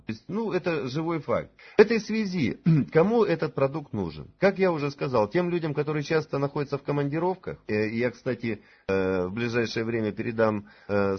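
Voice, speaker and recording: Husky guy in his 40s giving a sales conference talk, lots of uh pauses, muffled audio. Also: mid-range voice. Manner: hesitant, many drawn-out "uh" fillers. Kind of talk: conference talk promoting a supplement.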